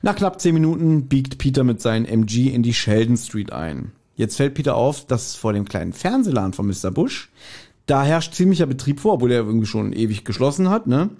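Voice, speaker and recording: A man's voice: medium pitch, big pitch swings, brisk, mellow. A man hosting a podcast, casually retelling and reviewing a story. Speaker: podcast host. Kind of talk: casual chat, retelling and reviewing an audio drama.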